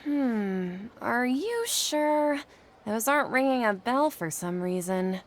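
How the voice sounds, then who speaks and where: A woman's voice: on the high side, big pitch swings, slow pace, a little hiss or hum. A woman voicing an earnest, self-doubting young woman in a video game, polite and a little hesitant. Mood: puzzled. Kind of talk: video game character acting.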